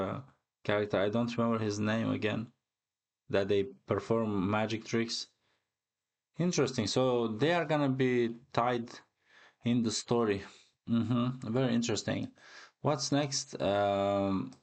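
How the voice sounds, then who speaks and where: Slow-talking man reacting casually. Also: fairly low pitch. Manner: hesitant, musing, repeats himself. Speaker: reaction video host. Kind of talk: casual chat, live reaction.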